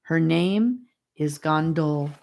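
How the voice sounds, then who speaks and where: Woman as a grave, slow-spoken young male guide. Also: deep voice, expressive, vocal fry, a little mellow, slight background noise, heavily acted. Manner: slow, solemn, measured.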